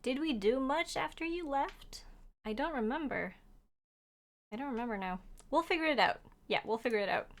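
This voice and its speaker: Young female streamer, Norwegian first language, higher voice, casual and laugh-prone chat. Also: lively intonation, normal speed, long pauses. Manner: chatty and giggly, laughs often; talks to chat while playing. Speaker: game streamer. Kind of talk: casual livestream chat, reading chat aloud.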